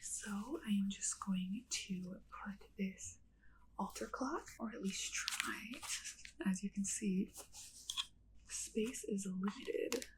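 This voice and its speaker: A young woman whispering gently as a welcoming witch in an ASMR roleplay. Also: medium pitch, slow pace, rough recording. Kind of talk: soft-spoken ASMR roleplay.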